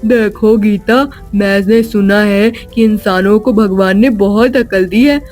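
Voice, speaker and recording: A performer voicing a concerned, earnest character in an animated children's story. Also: medium pitch, takes it slowly, soft background music, close to an everyday voice. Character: worried grandfather, and a captive little genie.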